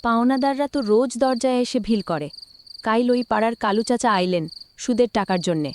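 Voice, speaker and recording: A woman's voice: fairly high pitch, fairly quick, a little hiss or hum, lightly acted. A woman playing a poor, resilient village wife in a cartoon drama, anxious yet encouraging. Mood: anxious, resolute.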